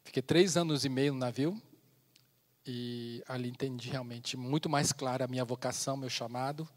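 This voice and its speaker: A man in his fifties from China, giving an earnest personal testimony as a missionary speaker in a church, with pauses and restarts. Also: medium pitch, steady tone. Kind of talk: testimony sermon. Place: church sanctuary. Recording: long church hall reverb.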